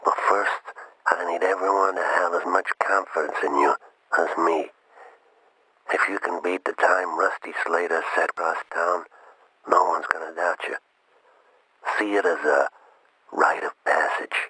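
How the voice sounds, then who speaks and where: An actor playing a commanding, menacing crime boss in a video game, speaking in a measured, authoritative way. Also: male voice, low voice, fairly even intonation, sharp tone, slightly rough, no echo, full character voice. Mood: quiet menace. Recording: game cutscene with noisy ambience.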